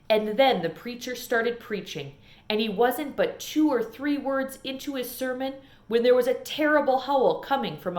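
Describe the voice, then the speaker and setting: A woman narrates a children's novel as a read-aloud. Her voice sits a little high and her storytelling is expressive. The room gives a noticeable echo. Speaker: storybook reader. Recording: echoey room.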